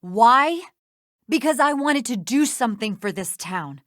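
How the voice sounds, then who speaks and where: A woman's voice: on the high side, lively intonation, measured pace, a bit bright, no room sound, studio quality. An actress playing a bitter, sarcastic female lawyer villain in a video game, ranting with emphatic contempt. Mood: impassioned.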